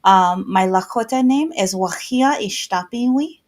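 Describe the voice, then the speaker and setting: This is a woman from South Dakota in the United States, introducing herself in an online discussion. Her voice sits in a middle pitch. She speaks in a friendly, easy way with frequent um fillers. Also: animated, measured pace. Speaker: church conference superintendent and doctoral student. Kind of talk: casual introduction on a video call.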